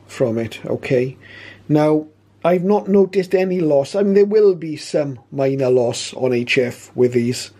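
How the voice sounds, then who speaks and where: A man giving a casual, explanatory talk as a hobby channel host, measured and matter-of-fact. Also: medium pitch, dark tone. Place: small indoor home radio room.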